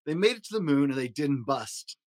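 A man hosting a story podcast, reading a rhyming children's picture book aloud in an expressive, rhythmic way. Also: mid-range voice, a little fast. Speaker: podcast host and story reader.

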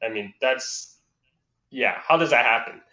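A man hosting a casual interview podcast, speaking with a slight Chinese accent, halting and correcting himself as he goes. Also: mid-range voice, bright, forceful tone. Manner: halting, with fillers and self-corrections. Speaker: podcast host. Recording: roomy and slightly dull.

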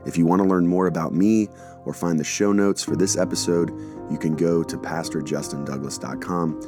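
A man who is a pastor, reading an upbeat scripted podcast introduction smoothly. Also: low voice, fairly even intonation, moderate pace. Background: guitar music.

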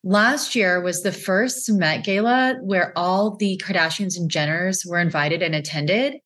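A woman co-hosting a casual podcast over a video call, chatting in an animated way with sudden upward jumps in pitch. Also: measured pace. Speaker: podcast co-host, stylist. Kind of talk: casual chat.

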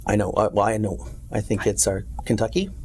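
A man's voice: lowish voice, steady tone, a little fast, gravelly, a little mellow, husky. A middle-aged man from New York, a lawyer guest on a studio podcast, telling stories with a joking manner. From New York City. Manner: joking storyteller. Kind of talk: casual interview chat.

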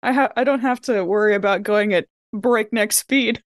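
A woman with a medium-pitched voice plays a tabletop game, talking in a casual, bantering way. The sound is close, with very little room in it. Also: moderate pace, dry recording.

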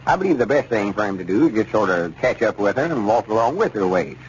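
A self-important old Southern storekeeper in a 1930s radio comedy, with a fairly low voice and a rural Arkansas drawl. He is folksy, know-it-all and easily exasperated. The recording is dull and faintly noisy. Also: sing-song, fast. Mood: advising.

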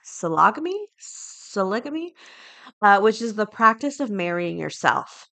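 A woman's voice: medium pitch, sing-song, unhurried, vocal fry, pushed and bright. A woman hosting a podcast solo, talking casually and chattily, with informal asides and items read aloud. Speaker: podcast host.

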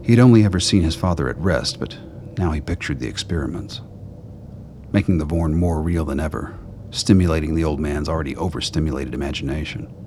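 Very deep male narrator. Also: a little fast, darkish tone, a little hiss or hum. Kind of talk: scripted story narration for a radio play.

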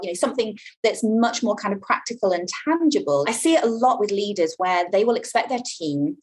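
Husky-voiced woman explaining communication. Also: medium pitch. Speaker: communication coach. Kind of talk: interview talk, explaining.

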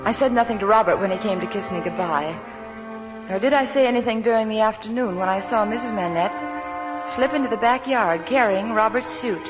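An actress playing an anxious, devoted young wife in 1940s radio drama, earnest and pleading, on a vintage broadcast recording. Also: female voice, mid-range voice, a little fast, lo-fi sound, over music, close to an everyday voice. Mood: troubled recollection.

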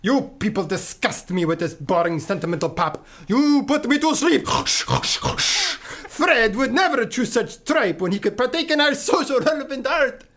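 A man's voice: very high-pitched, lively intonation, unhurried, hardly any pauses, fairly bright tone, heavily acted. A man playing a pompous guerrilla troupe leader in a comic radio play, speaking in grand, stilted declarations. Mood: scornful.

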